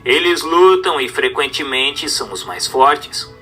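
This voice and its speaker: A man with a Brazilian accent reads a religious text aloud as a narrator, in a formal, measured, didactic manner over music. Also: fairly high pitch, lively intonation, sharp tone. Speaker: narrator reading a book aloud. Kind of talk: scripted audiobook-style narration of a religious text.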